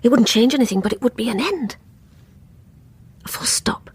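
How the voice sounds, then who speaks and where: Breathy, guarded woman neighbour. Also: on the high side, expressive, moderate pace, no echo. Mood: shaken. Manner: hesitant, reserved, confiding, often hushed. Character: guarded, lonely, troubled woman neighbour confiding her story. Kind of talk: radio drama monologue and dialogue.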